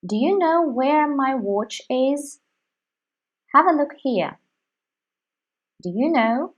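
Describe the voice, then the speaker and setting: Very slow, deliberate woman teacher. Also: sits high, lively intonation, highs cut off. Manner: says each word separately with long pauses. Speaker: language teacher. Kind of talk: slow, deliberate language lesson.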